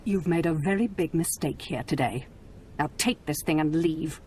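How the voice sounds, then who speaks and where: A woman's voice: deep voice, normal speed, darkish tone, a little hiss or hum, close to an everyday voice. An actress playing a scheming, possessive noble lady in a video game cutscene, talking in a cold, condescending way.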